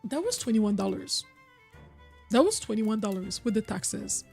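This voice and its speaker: A woman's voice: mid-range voice, very animated, normal speed, vocal fry, darkish tone, slightly rough, faint music behind. A young woman whose first language is French, chatting casually with her viewers on a live stream, full of "like" and repeated phrases. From Quebec, originally Cameroon.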